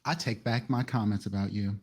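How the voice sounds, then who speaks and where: A man's voice: on the low side, fairly even intonation, fairly quick, a little mellow, breathy edge. A man playing a smug vampire aristocrat, proud of his lineage, who talks in a glib, dismissive way. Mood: dismissive, snide.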